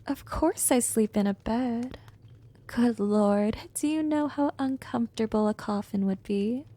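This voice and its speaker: Woman playing a slow, breathy, gentle vampire temptress. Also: fairly high pitch. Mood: amused, teasing. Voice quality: soft, breathy, often whispering. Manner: slow, soothing, coaxing. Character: gentle, teasing, seductive female vampire hypnotist. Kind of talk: ASMR roleplay.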